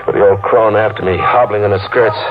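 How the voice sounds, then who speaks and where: A man's voice: lowish voice, fairly even intonation, brisk, natural voice. An actor playing a desperate hunted man in a 1940s radio mystery, clipped, urgent and dramatic. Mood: tense, haunted. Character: haunted, desperate hunted man telling his story. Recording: muffled 1940s radio recording with loud music.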